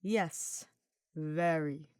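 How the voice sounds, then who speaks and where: Woman as a stiff, low-pitched male dark mage. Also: extremely slow, dry recording, clean studio sound. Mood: reserved. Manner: formal, clipped, grudging.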